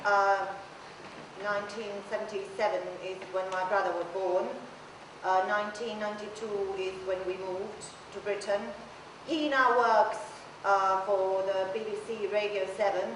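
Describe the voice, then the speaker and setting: A woman from Bosnia, reciting dates and facts about her life in a plain, measured way with fillers. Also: mid-range voice, steady tone, noisy background. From Bosnia and Herzegovina. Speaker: performer. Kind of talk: reciting facts in a workshop performance. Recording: echoey studio room from far off.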